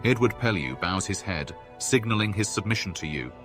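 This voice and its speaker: Man acting as game storyteller, low voice, solemn scripted narration, prominent music behind. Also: animated, brisk, close to an everyday voice. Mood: descriptive. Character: solemn male storyteller describing a fantasy game scene.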